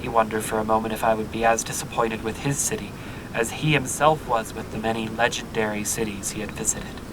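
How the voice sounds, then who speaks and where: A man's voice: steady tone, brisk, natural voice. A man playing a reflective, wry explorer in an audio drama. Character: reflective young male explorer narrating his journey. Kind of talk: audio drama acting and first-person narration. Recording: noisy, low rumbling hum behind.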